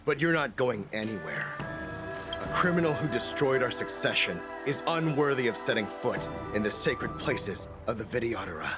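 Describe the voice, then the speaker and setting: A man's voice: medium pitch, expressive, normal speed, lightly acted. An actor playing a hostile, zealous male elder in a game cutscene, speaking with cold contempt. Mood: contemptuous. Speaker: game character. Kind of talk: video game cutscene dialogue. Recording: game audio with very loud music.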